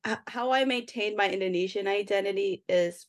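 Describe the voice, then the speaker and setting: A young woman from Ohio co-hosting a casual podcast chat, talkative and quick to laugh. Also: medium pitch, dry recording, clean studio sound. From United States (Ohio). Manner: chatty, laughs often. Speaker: podcast co-host.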